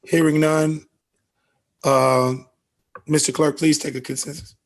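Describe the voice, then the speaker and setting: A man chairing a formal meeting over a video call, speaking in a halting, procedural way. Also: a little high, level tone, low-quality recording. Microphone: video call microphone.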